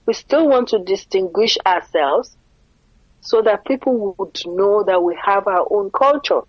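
A woman's voice: medium pitch, expressive, a little slow, husky, no room sound, dull audio. A middle-aged Nigerian woman with a Nigerian accent, speaking earnestly as an interview guest and often asking rhetorical questions. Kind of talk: conversational interview.

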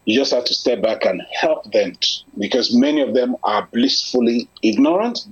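A man in conversation, speaking in an earnest, measured, persuasive way. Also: mid-range voice, expressive, breathy edge. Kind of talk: conversational discussion. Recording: lo-fi muffled audio.

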